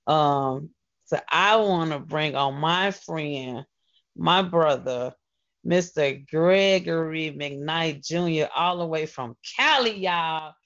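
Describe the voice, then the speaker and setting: A woman's voice: sits low, slow, a bit bright, dull audio. A woman hosting a radio show in a casual, effusive, slangy way.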